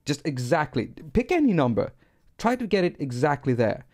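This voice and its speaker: A man's voice: a little high, very animated, normal speed, a little mellow. A middle-aged man from Sweden with a Swedish accent, rambling and self-deprecating as he teaches synthesis on a live stream. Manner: rambling, self-deprecating, repeats words when restarting. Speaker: music producer teaching synthesis.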